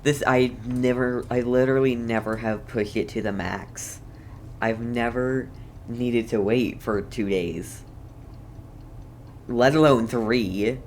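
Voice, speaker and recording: Slow-talking streamer thinking out loud over a game, hesitant, casual, faint noise behind. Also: mid-range voice, fairly even intonation. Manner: hesitant, musing aloud. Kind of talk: casual game playthrough commentary.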